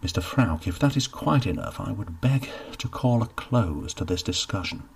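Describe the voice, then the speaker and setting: A man's voice: lowish voice, lively intonation, normal speed, vocal fry, breathy edge, heavily acted. A man voicing a pompous, genial steward who speaks formally and gets flustered. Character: pompous genial middle-aged male steward.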